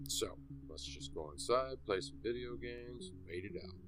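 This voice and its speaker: A man's voice: mid-range voice, expressive, normal speed, noisy background, lightly acted. A man playing a brash, blunt, foul-mouthed young man in an amateur horror audio drama, talking in casual slang. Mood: blunt, hushed worry. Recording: music behind the voice. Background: background music.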